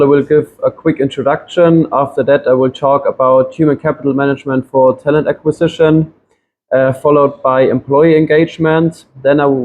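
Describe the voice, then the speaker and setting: A man's voice: mid-range voice, darkish tone, slight background noise, rough recording. Hesitant young man presenting. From Germany. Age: 20s. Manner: hesitant, many ums and restarts. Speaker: student presenter. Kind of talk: classroom presentation.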